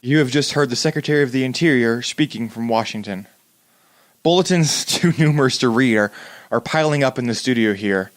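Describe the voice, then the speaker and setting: A man's voice: mid-range voice, no room sound, lightly acted. Young man playing a grave radio news announcer, dry sound. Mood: urgent, formal. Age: young adult. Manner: formal, measured, deliberate phrasing. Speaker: student actor in a radio play. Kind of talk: 1930s radio news bulletin acting.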